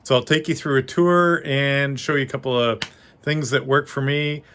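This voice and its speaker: A Canadian man gives an informal tour as a hobbyist, speaking off the cuff. His voice is mid-pitched and notably bright and forward. He comes across as modest and self-deprecating, explaining things casually. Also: steady tone, normal speed, clear, resonant tone. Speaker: amateur radio hobbyist giving a tour. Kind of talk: casual unscripted walkthrough.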